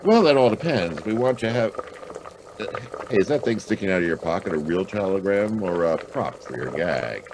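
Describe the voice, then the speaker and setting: A man's voice: low voice, expressive, normal speed, background noise. A man playing a wry, slangy hard-boiled private detective in an audio drama, with a dry manner. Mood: brisk, curious. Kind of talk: hard-boiled radio drama acting with narration.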